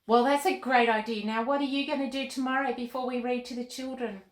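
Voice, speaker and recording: A woman reading expressively to children as a storytime host, with a gentle, deliberate delivery. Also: on the high side, steady tone. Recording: roomy, echoey room.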